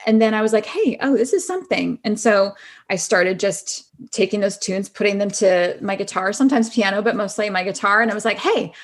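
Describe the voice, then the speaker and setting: A middle-aged woman chatting casually as a podcast guest, telling personal stories with plenty of "like" and "you know". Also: fairly high pitch. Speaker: podcast guest, actress and singer-songwriter.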